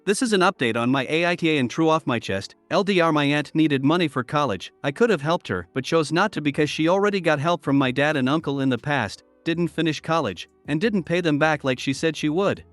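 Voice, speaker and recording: A man with a slightly high voice reads online stories aloud in an even, steady way. The sound is dry and close, with faint music playing underneath. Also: a little fast, hardly any pauses, dry recording. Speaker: narrator. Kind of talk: reading online posts aloud.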